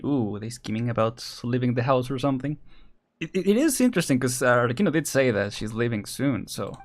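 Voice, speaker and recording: A man's voice: medium pitch, sing-song, brisk. Young man casually streaming a game. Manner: musing, reactive. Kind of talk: casual live game commentary.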